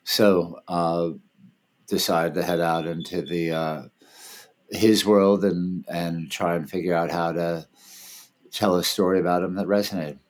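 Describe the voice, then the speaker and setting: Man, 50s, low voice, relaxed, searching for words, video call. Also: measured pace. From Washington, D.C. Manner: relaxed, hesitant, many fillers. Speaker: filmmaker and festival founder, guest. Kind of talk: casual interview over video call.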